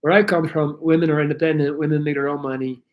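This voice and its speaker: A man from Belgium chatting casually on a livestream, answering viewer questions in a rambling, halting way with many restarts. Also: on the high side, fairly even intonation, muffled recording. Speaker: YouTuber answering live chat.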